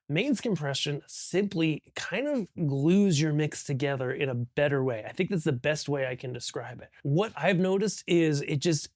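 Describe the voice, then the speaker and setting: A man's voice: a little high, darkish tone, no room sound, studio quality. A man explaining things as an audio instructor in an informal, conversational tutorial, thinking aloud as he goes.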